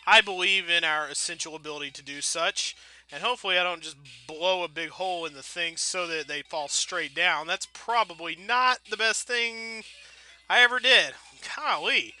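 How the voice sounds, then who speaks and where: A man's voice: high voice, normal speed, bright, forceful tone. A man giving casual, rambling commentary as he plays a game, playful and full of folksy mild exclamations. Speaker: gameplay video host.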